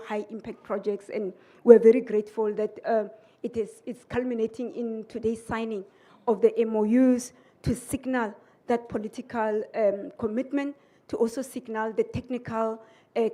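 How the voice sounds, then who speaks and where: A woman gives a halting official speech, pausing often on "uh" between phrases. Her voice is mellow and flat, a little high, with little rise and fall. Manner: halting, with many "uh" pauses. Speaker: government official giving a speech. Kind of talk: formal speech.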